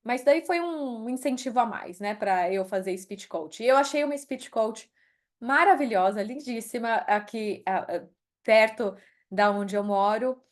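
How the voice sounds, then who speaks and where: A woman's voice: a little high, unhurried. A middle-aged woman from Brazil with a Brazilian accent, speaking candidly as a podcast interview guest and slipping into English phrases. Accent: Brazilian Portuguese. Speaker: guest, HR executive.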